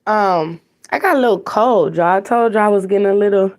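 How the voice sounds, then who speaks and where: Hoarse woman with a cold, chatty host. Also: mid-range voice, brisk, darkish tone. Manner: chatty, repeats phrases, talks straight to viewers. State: hoarse, raspy from a cold, stuffy nose. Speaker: host of an online news talk show. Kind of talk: casual livestream chat. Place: home with TVs on in the background.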